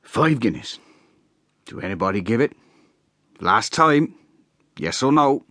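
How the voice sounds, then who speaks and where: A man's voice: medium pitch, very animated, measured pace, dry recording, clean studio sound, voice pushed up. A man voicing a drunken, brash rustic hay trusser who blusters and boasts. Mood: blustering. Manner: blustering and boastful, shouting at times.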